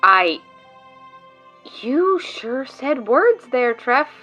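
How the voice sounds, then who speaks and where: A woman's voice: sits high, big pitch swings, drawn-out words, pushed and bright, highs cut off, soft background music, full character voice. A woman voicing a sarcastic, exasperated friend in an audio drama, prone to ranting. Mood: deadpan exasperated.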